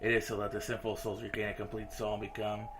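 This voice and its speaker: A man's voice: lowish voice, completely flat delivery, quick pace, background noise. A man reading visual novel dialogue aloud as a game streamer, in a casual, informal manner. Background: visual novel game music.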